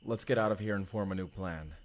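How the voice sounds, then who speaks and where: A man's voice: low-pitched, quick pace, natural voice. A man playing an urgent, determined time traveler in an audio roleplay drama, earnest and intense. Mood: urgent, planning. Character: urgent, determined male time traveler, protective of his partner.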